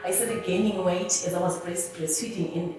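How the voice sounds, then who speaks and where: A young woman from Zimbabwe with a Zimbabwean accent, telling her personal story in a halting, earnest way that often restarts words. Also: fairly low pitch, fairly even intonation, over music. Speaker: interviewee. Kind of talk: personal testimony. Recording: very echoey room, voice from a distance.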